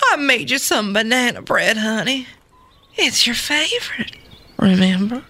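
Woman acting pleading Southern mother, high and emotional. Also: lively intonation, unhurried, pushed and bright, heavily acted. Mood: coaxing. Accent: Southern US, put on. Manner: emotional, overwrought, coaxing with endearments. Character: pleading Southern mother begging estranged grown son.

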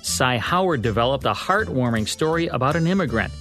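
A man's voice: mid-range voice, lively intonation, a little fast, a bit bright, faint music behind. An American man co-hosting a podcast, reading scripted narration about old-time radio. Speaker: podcast co-host.

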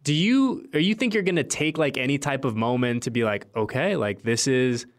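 A man's voice: mid-range voice, moderate pace. An enthusiastic man with a mid-range voice, hosting a sports show. He talks informally and chattily, as if to a buddy, and repeats points to drive them home. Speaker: sports podcast host.

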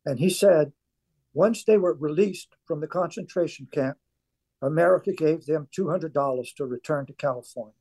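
A man's voice: fairly high pitch, normal speed, mellow. An older man from South Louisiana with a Cajun accent, telling his story earnestly and emphatically as a guest in a radio interview. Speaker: author and former weightlifter, guest.

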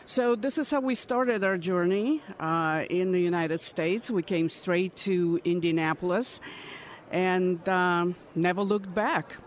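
Woman, fifties, earnest, measured, interview answers. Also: on the low side. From Ukraine. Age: 50s. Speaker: congressional candidate. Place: political conference.

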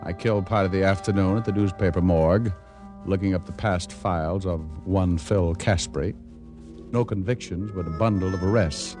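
A male actor as a hard-boiled private eye with a lowish voice. He tells things in dry, deadpan slang, like classic radio noir. Music plays clearly behind him on a vintage recording. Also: normal speed, lightly acted. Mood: deadpan narrating. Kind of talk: 1940s-50s radio noir acting and narration.

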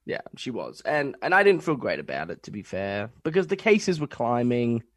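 A young man co-hosting a podcast from home, stuffy and nasal from a cold, talking in halting starts with many fillers. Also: mid-range voice, big pitch swings, no echo, studio quality. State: congested, nasal. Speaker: podcast co-host. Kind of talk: casual chat.

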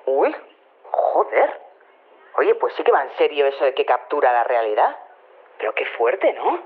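A woman playing an excitable, scatterbrained street reporter with a Castilian Spanish accent, chattering and stammering through a telephone filter. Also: sits low, a little slow, a little hiss or hum. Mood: amazed. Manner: chatty, stammering, easily sidetracked. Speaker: on-the-scene reporter. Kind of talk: colloquial acted phone report. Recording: tinny narrow phone line.

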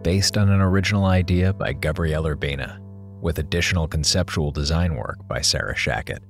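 Deep-voiced man over music. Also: fairly quick, creaky. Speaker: podcast producer. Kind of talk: scripted credits and listener address.

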